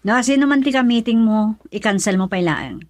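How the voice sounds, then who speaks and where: An actress playing an insistent young wife in radio drama dialogue. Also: female voice, on the high side, animated, fairly quick, no echo, close to an everyday voice.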